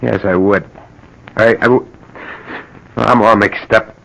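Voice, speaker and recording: An actor playing an anxious, guilt-ridden reluctant accomplice in an old-time radio crime drama, pleading and agitated. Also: male voice, fairly low pitch, steady tone, measured pace, creaky, a bit bright, poor audio. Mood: stricken, anxious. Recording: vintage radio drama recording.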